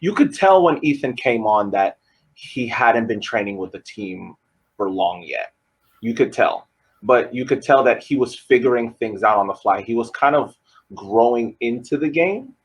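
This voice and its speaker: A man co-hosting a podcast, laying out soccer analysis in measured, complete arguments. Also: mid-range voice, animated, breathy edge. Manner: measured, argues in full sentences. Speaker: podcast co-host.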